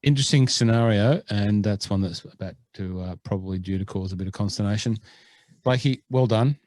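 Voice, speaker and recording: A man's voice: on the low side, brisk, a little mellow, no room sound. A man co-hosting a golf rules podcast, chatting casually in a jokey, rambling way. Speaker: podcast co-host.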